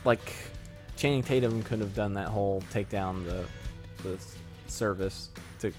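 A man's voice: fairly low pitch, measured pace, darkish tone, soft background music. Casual young man reviewing a film. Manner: chatty, loose, trails off and restarts. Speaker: podcast co-host.

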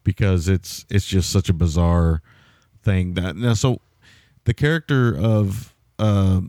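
A man's voice: low voice, takes it slowly, mellow, no echo, studio quality. A man co-hosting a podcast, reviewing a film in casual, rambling, amused talk. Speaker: podcast co-host. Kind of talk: casual movie review chat.

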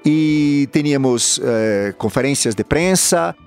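A man's voice: mid-range voice, expressive, takes it slowly, a little mellow, smooth, faint music behind. A Brazilian man who speaks Portuguese natively, giving interview answers in a second language. He talks with passion but often stops to search for words. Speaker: activist guest.

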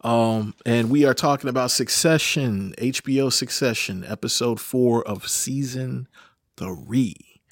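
A man's voice: mid-range voice, unhurried, dry recording, studio quality. A man hosting a podcast in a loose, casual chat style, rambling, swearing freely and laughing often. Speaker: podcast host.

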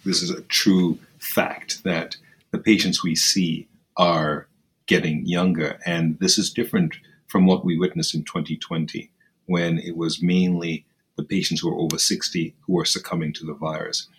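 A man's voice: deep voice, little pitch movement, fairly bright tone, poor audio. A man giving thoughtful, formally phrased answers as a surgeon guest in an interview. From Kenya. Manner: measured, formal, articulate phrasing.

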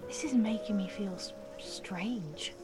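A young woman playing an excitable, anxious nineteen-year-old trainee beekeeper in an audio drama. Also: mid-range voice, takes it slowly, over loud music, natural voice. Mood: awed. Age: young adult. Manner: breathless, excitable, cheeky.

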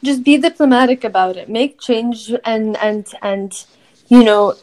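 A woman's voice: on the high side, unhurried, a little mellow, low-quality recording. A young woman from Syria in a casual group discussion. She talks in a thoughtful way, trailing off in long sentences and laughing often. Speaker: discussion panelist.

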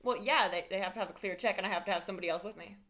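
A woman co-hosting a casual chat show, playful and blunt, quick with jokes. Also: mid-range voice, fairly bright tone. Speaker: podcast co-host.

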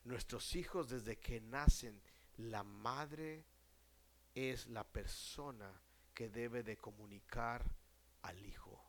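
A man preaching a sermon to a congregation, putting questions to the audience and answering them himself, often dropping to a hush at the end of a phrase. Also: mid-range voice, slight background noise. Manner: slow and deliberate, drops to a hush at ends of phrases.

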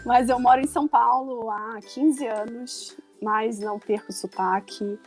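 A woman in her forties from Rio de Janeiro with a Carioca accent, a guest on a casual podcast, chatty and quick to laugh. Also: a little high, fairly even intonation, soft background music. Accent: Carioca Brazilian Portuguese. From Rio de Janeiro, Brazil. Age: 40s. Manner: chatty, laughing easily, many restarts.

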